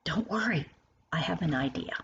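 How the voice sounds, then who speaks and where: A woman reads the part of a kindly grown woman in a children's storybook. She is gentle and reassuring, explaining things to a child with lively intonation. The recording is a bit dull with faint noise. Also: low-pitched, moderate pace, creaky.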